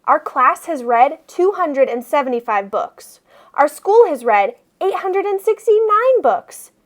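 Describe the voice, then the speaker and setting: A woman reading a children's picture book aloud expressively, with deliberate phrasing for young listeners. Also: sits high, sing-song. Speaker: read-aloud storyteller.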